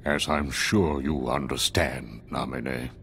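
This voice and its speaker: A menacing, cryptic older figure in a video game cutscene. The voice is extremely low and gravelly, delivered slowly and solemnly over faint background noise. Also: male voice, fairly even intonation, fairly bright tone, exaggerated voice. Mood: ominous, grave. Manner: slow, ominous, deliberate.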